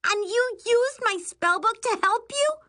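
A woman voicing an indignant, bossy twelve-year-old girl in a shrill, snapping voice for an audiobook. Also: expressive, slow pace, bright, forceful tone, heavily acted. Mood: furious.

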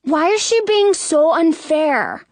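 Woman doing small boy, storybook kid brother, whiny, blunt, very high, animated. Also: slow, dry recording, exaggerated voice. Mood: indignant. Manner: whiny, insistent. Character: cheeky, whiny little brother, hungry and blunt.

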